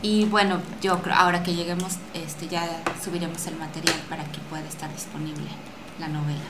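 A woman's voice: lowish voice, little pitch movement, fairly quick. A woman with a Mexican accent speaking in a chatty, informal way as a guest in an interview. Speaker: radio drama maker and guest. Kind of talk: casual interview talk. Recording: echoey room with clear background noise.

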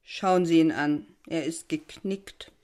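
A tipsy, brittle wife in a stage-like audio drama. Her voice sits low for a woman. She hands down opinions with languid, sardonic certainty. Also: takes it slowly, a little mellow. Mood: tipsy, mocking. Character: tipsy, opinionated, brittle married woman, guest of another couple. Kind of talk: theatrical audio drama acting.

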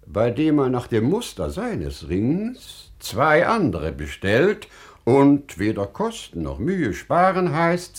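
Slow, expressive wise storyteller. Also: male voice, mid-range voice, breathy edge, full character voice. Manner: measured, deliberate, dramatic phrasing with weighty pauses. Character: wise, humble storyteller addressing a sultan with a parable. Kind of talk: classical German stage-style radio play acting.

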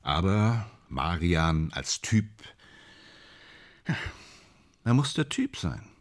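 A man's voice: deep voice, very animated, slow pace, exaggerated voice. A man playing a boastful, ambitious actor in a German radio drama, speaking in theatrical, self-dramatizing fragments. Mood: subdued, wistful. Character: boastful, ambitious male stage actor dreaming of Hollywood stardom.

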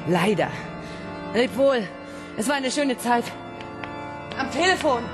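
Eine Schauspielerin spielt in einem Hörspiel eine rätselhafte junge Frau mit verträumter, ironischer Art. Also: female voice, on the high side, lively intonation, slow pace, music in the background. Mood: wistful. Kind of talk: radio play acting.

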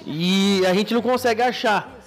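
A man's voice: sits high, normal speed, soft background music. A man hosting a podcast in casual chat, recapping loosely with many restarts. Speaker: podcast host.

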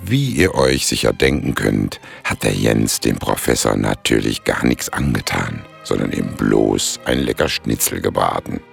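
An actor narrating a radio play as a folksy, sly storyteller, gravelly and husky, chatty and colloquial. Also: male voice, extremely low pitch, lively intonation, unhurried, hardly any pauses, soft background music, full character voice. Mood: wry.

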